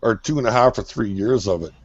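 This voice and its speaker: A man's voice: lowish voice, brisk, no room sound, muffled recording. A man, a father, earnestly explaining his view in casual chat with fillers along the way. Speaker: parent.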